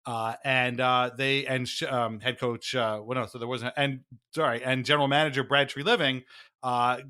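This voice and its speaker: Bright-voiced man hosting with theatrical flair. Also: mid-range voice, fairly even intonation, moderate pace, clear, resonant tone. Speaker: podcast host. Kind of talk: mock-formal dramatic reading and banter.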